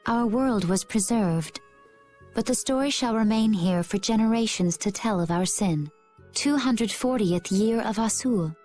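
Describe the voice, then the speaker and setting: A woman playing a poised, calm, gently diplomatic young woman in a video game. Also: mid-range voice, unhurried, close to an everyday voice. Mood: solemn. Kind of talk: video game dialogue, composed. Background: background music.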